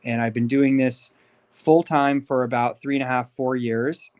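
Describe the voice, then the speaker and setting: A man's voice: medium pitch, steady tone, unhurried, a little mellow. Man, 30s, from Los Angeles, podcast guest, candid storytelling, average voice. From Los Angeles, USA. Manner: upbeat, candid, talks freely with fillers. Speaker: podcast guest, life coach. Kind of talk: casual interview storytelling.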